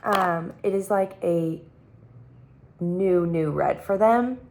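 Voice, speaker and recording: A young woman with a slightly hoarse voice after being sick, chatting casually and rambling with lots of fillers in a beauty vlog filmed on her phone at home. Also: fairly low pitch, slow, noisy background. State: hoarse, recovering from illness. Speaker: beauty vlogger. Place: at home, sitting on the floor. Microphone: phone camera.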